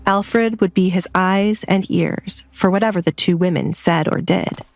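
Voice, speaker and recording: A woman's voice: lowish voice, a little slow. A woman reading scripted romance fiction as an audiobook narrator. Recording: background music under the voice. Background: music.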